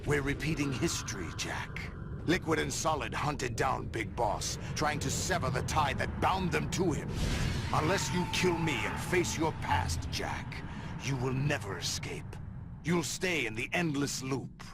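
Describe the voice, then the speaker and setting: Older soldier villain, gravelly, solemn, theatrical, menacing, video game drama, loud music underneath. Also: male voice, medium pitch, expressive, measured pace. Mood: solemn, resolute. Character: grandiose, menacing older male villain, a military foster father.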